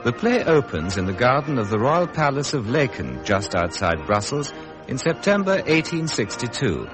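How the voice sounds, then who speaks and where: A man's voice: mid-range voice, big pitch swings, normal speed, poor audio. A man with a British accent giving a formal scripted introduction as a radio drama announcer. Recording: old radio broadcast over loud music.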